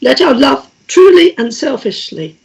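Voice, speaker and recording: A woman with a croaky, husky voice, reading prayers and leading a gentle healing meditation at a church service. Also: high-pitched, very animated, dull audio. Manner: gentle, measured, with frequent small restarts. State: croaky, coughing voice.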